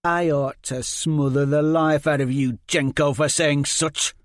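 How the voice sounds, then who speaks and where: A man's voice: on the high side, steady tone, unhurried, dry recording, studio quality, voice pushed up, close to an everyday voice. Man voicing an angry, hot-tempered girl. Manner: heated, scolding. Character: big-tempered, pious teenage girl.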